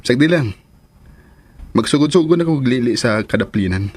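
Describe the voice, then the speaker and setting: A man's voice: mid-range voice, lively intonation, normal speed, a little mellow, breathy edge. A man with a Cebuano Filipino accent playing a teasing, bashful young engineer in a radio drama. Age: 20s. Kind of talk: Philippine radio drama acting.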